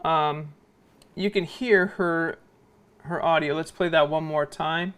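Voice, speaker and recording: A man with a noticeably high, smooth and clear voice narrates a software tutorial. He explains informally, step by step. He often tags his points with little checks for understanding. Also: fairly even intonation, takes it slowly. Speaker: video editing instructor.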